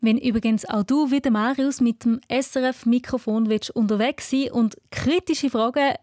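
A woman's voice: on the high side, steady tone, normal speed, a little mellow, no room sound, studio quality. A woman with a Swiss German accent presenting a scripted radio programme for children as its host.

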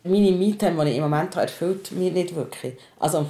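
Low-voiced Swiss German woman. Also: fairly quick, mellow. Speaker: podcast host, parent. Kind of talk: casual personal conversation.

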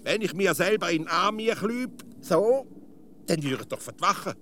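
A man's voice: high voice, expressive, moderate pace, pushed and bright, slight background noise. A man with a Swiss German accent playing a stubborn, muddled, argumentative village philosopher in a comic radio play. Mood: argumentative, earnest.

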